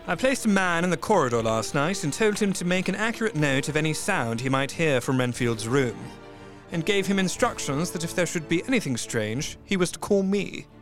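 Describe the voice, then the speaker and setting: A man's voice: on the high side, expressive, moderate pace, fairly bright tone. An actor playing a methodical asylum doctor, narrating his clinical diary in an audio drama. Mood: businesslike. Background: faint music.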